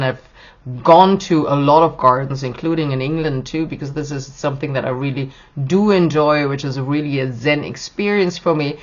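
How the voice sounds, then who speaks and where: A hoarse middle-aged woman hosting a podcast, talking in a chatty, rambling way with restarts. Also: deep voice. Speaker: podcast host. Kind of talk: casual podcast monologue. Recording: dull lo-fi recording.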